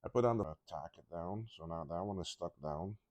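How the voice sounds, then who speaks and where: A man talking casually through a soldering job at his workbench, halting and rambling, his voice a little congested from a cough. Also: deep voice, a little mellow, no room sound. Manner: rambling, halting, with many restarts and ums. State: congested, slightly rough from a cough. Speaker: electronics repair hobbyist.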